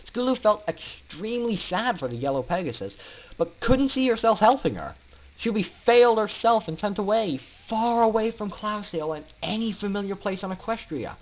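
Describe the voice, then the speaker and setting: A man with a slightly high voice narrates fiction dramatically. His delivery is theatrical and emphatic, leaning on pauses for suspense. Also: rough recording. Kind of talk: dramatic fan-fiction story reading.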